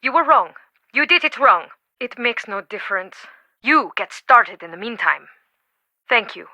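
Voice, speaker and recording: A woman's voice: on the high side, animated, measured pace, pushed and bright, no room sound, full character voice. A woman playing an exacting, impatient boss in a video game, with a clipped, commanding, tense delivery. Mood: exasperated.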